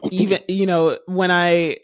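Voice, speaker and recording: A woman's voice: lowish voice, little pitch movement, measured pace, no echo. Chatty podcast host woman, dry sound. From Haiti. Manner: talkative, warm rambling with many fillers. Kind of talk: casual interview.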